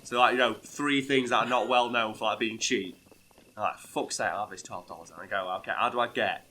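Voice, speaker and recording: A hoarse, ill man swearing freely as he plays a video game and tells a story. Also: fairly low pitch, brisk. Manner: swears freely, bursts into loud outbursts. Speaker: co-host playing a video game. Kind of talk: casual gaming chat with storytelling.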